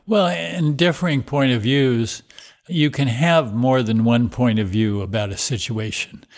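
A man hosts a podcast interview in a measured, guiding way. His voice sits in the middle range for a man. He moves the conversation along with open questions. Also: mid-range voice. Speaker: podcast host.

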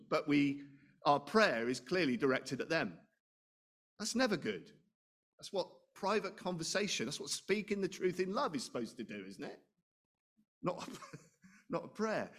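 A man preaching a sermon, loud and animated, chatting with asides and rhetorical questions. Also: high voice.

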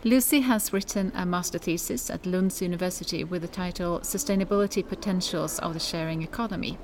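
A woman's voice: fairly low pitch, brisk. A woman hosting a conversational podcast interview in English. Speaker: podcast host interviewing a guest. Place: conference center. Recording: background noise at conference venue. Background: ambient noise, distant sound check.

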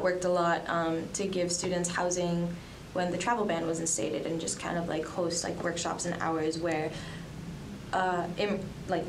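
A young woman from the Dominican Republic and Colombia talks through her answers casually, full of hedges and fillers. Her voice sits a little low, and she sounds set back from the microphone in a reverberant room. A steady noise runs under the recording. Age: young adult. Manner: chatty, many fillers and hedges. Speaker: student journalist guest. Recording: echoey room, distant from mic, noisy.

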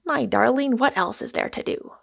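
A woman's voice: a little high, moderate pace, vocal fry, voice pushed up. A woman voicing an exuberant, argumentative Irishman, a storybook suitor who declaims with great emphasis. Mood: impassioned.

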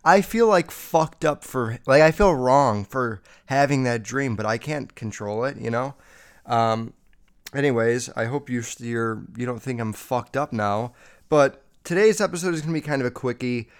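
Rambling young man, smooth voice. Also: mid-range voice, animated, normal speed. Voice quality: slightly nasal. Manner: rambling, heavy swearing, many fillers. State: feeling sick. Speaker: podcast host. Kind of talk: casual solo podcast chat. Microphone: podcast microphone.

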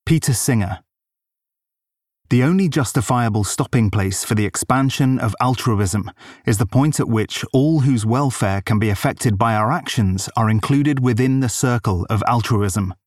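A man narrating an audiobook, reading quotations in a deliberate, formal delivery. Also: medium pitch, darkish tone, no room sound, clean studio sound.

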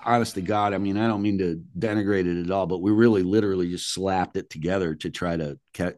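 Guy with a real low voice, rambly casual storytelling like a musician in an interview. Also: fairly even intonation, fast, a little mellow, soft background music.

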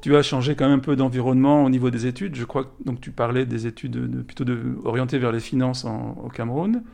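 A man interviewing a guest, asking questions in a halting way and repeating words. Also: mid-range voice, steady tone, gravelly, warm tone.

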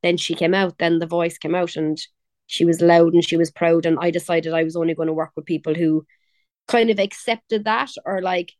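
A woman's voice: fairly low pitch, fairly even intonation, normal speed, darkish tone, no echo. Chatty young Cork woman, dry sound. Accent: Cork Irish. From Ireland. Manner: chatty, laughing, quoting others in voices. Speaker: pop singer, guest. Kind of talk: casual interview chat.

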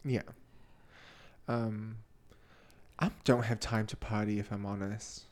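A man's voice: fairly low pitch, level tone, slow, a little mellow. A man from Tonga co-hosting a podcast in casual chat, with a playful, campy, chatty manner. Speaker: podcast co-host.

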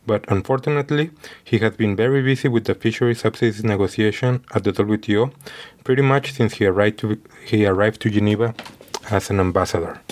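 A man's voice: on the low side, brisk, darkish tone. A man hosting his own interview podcast, reading a prepared introduction with small stumbles and restarts, then asking his guest questions. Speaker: podcast host.

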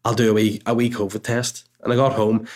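A man with a somewhat low voice, thick and stuffy from a cold, talks straight to his listeners from home. He rambles through a personal story in a chatty, anecdotal way, with swearing and side remarks. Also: little pitch movement, a little fast. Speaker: podcast host and comedian. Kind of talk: casual storytelling monologue.

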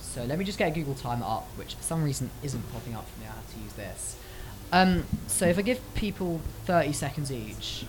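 A woman's voice: extremely low pitch, lively intonation, brisk, hardly any pauses, background noise. A British woman hosting a casual show with young people. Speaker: host of a youth show.

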